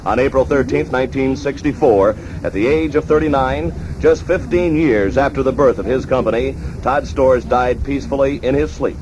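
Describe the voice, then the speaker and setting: A man's voice: mid-range voice, moderate pace, few pauses. Man narrating on a dull, noisy old recording. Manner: formal announcer delivery. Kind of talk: scripted 1960s radio documentary narration. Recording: dull, noisy archival 1960s radio recording.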